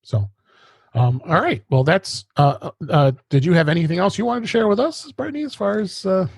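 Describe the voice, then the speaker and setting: A man's voice: high-pitched, expressive, normal speed, gravelly, breathy edge, no room sound, studio quality. A man hosting a casual tech podcast, rambling along and often repeating words as he restarts his sentences. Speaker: podcast host.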